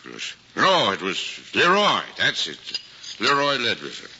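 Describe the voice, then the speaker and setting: An actor playing a good-hearted, hapless working-class cab driver with folksy, ungrammatical talk in an old-time comedy drama. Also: male voice, medium pitch, wildly swooping pitch, measured pace, bright, forceful tone, slightly rough, full character voice. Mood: chatty storytelling. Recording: dull lo-fi vintage recording, faint noise.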